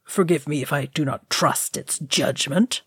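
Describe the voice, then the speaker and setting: A woman reader plays a male dwarf in a fantasy tale. He is bitter and stubborn. His words come out forceful and grating. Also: low-pitched, measured pace, slightly rough, dry recording, studio quality, pitched below the performer's own voice, exaggerated voice. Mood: angry, sarcastic.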